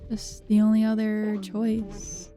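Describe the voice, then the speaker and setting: Very mellow woman game streamer. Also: medium pitch, level tone, a little slow. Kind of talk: casual playthrough commentary. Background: soft game music.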